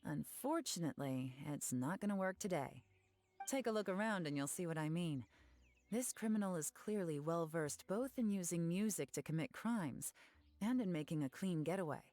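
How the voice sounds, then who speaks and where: A woman's voice: lowish voice, lively intonation, normal speed, darkish tone, natural voice. An actress playing a confident, shrewd, teasing female investigator in a video game, poised and knowing. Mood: analytical, confident. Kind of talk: video game voice acting, storytelling and deduction.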